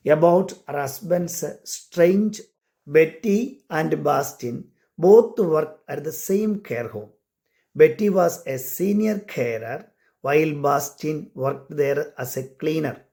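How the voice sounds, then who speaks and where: A man with an Indian accent, a non-native English speaker, talking earnestly and deliberately as he presents and reads his own writing. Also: on the high side, mellow. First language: not English. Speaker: amateur short story writer and care worker. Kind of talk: personal introduction and reading aloud of own short story.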